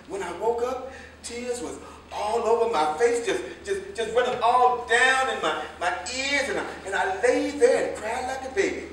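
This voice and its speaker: A man's voice: high voice, a bit bright. An American man acting a sentimental, pleading drunkard who rambles emotionally in a staged play reading. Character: maudlin, pleading drunkard, sentimental jitney driver. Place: indoor staged reading, recorded from afar. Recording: echoey, noisy stage from far off.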